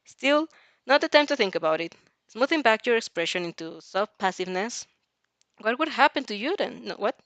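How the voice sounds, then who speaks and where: A woman's voice: fairly high pitch, lively intonation, normal speed, fairly bright tone, no echo. A woman reading a fan story aloud informally, giggly and stumbling over lines as she reacts to it. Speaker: narrator and reactor of fan fiction.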